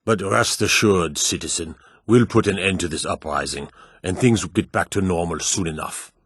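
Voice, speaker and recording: An actor playing a gruff, proud Nordic warrior and guard in a fantasy video game, speaking in a firm, emphatic, declamatory way. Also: male voice, low-pitched, normal speed, dry recording, studio quality. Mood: dutiful, weary. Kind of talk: dramatic video game dialogue.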